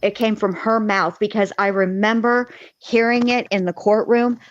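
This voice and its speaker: A woman's voice: medium pitch, steady tone, normal speed. A woman talking casually and emphatically as a true-crime livestream panelist, with salty, colloquial wording. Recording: dull, echoey livestream audio.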